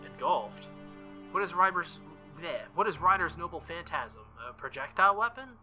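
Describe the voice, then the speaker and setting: A man's voice: fairly high pitch, expressive, normal speed, bright, forceful tone, soft background music. A man voicing an earnest, hesitant teenage boy hero in a visual novel. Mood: puzzled.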